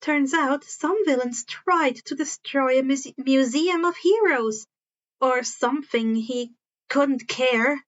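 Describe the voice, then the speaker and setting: A woman's voice: high-pitched, slow, muffled recording. A woman reading a story aloud as narrator, now and then stumbling over and repeating words, on a modest home recording. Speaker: fan fiction narrator.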